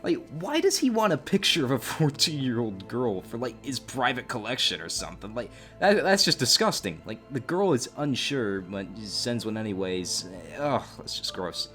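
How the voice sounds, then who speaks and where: Male commentary host, lively swinging pitch, expressive and openly appalled, reading chat logs over a music bed. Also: moderate pace, hardly any pauses. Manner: exclamatory, openly disgusted asides. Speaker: YouTube host narrating a story. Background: background music.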